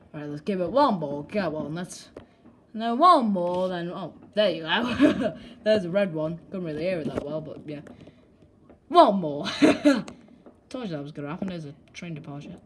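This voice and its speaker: A woman's voice: low voice, noisy background. Rambling young woman filming fireworks. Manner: rambling, self-deprecating, mild swearing. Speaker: vlogger. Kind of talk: casual vlog commentary. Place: at home filming fireworks outside.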